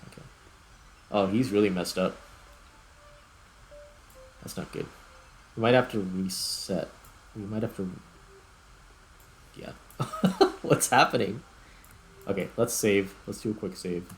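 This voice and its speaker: Low-voiced man doing casual gameplay commentary. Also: wildly swooping pitch, slow, long pauses, low-quality recording. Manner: thinks aloud in short fragments. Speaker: gaming YouTuber, host. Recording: clear background noise.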